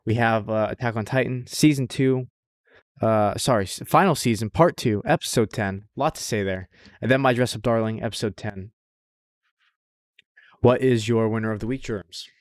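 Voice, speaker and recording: A man's voice: fairly low pitch, normal speed, no room sound, clean studio sound. A man co-hosting a podcast, chatting casually and enthusiastically with plenty of slang and some swearing. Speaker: podcast co-host. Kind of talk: casual podcast chat about anime.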